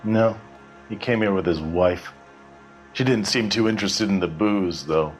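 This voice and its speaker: A guarded, sardonic barkeep from a 1940s detective game. The voice is low and the delivery dry and offhand, as if giving away little. Soft music sits behind it. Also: male voice, measured pace. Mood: wry. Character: guarded, sardonic working-class bar manager. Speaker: bartender. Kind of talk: scripted video game dialogue, 1940s crime drama.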